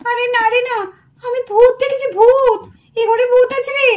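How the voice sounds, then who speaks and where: Woman doing a shrill, panicky village aunt. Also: fairly even intonation, normal speed, darkish tone. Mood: frightened. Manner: stammering, shrieking. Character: panicky young village aunt scared of ghosts.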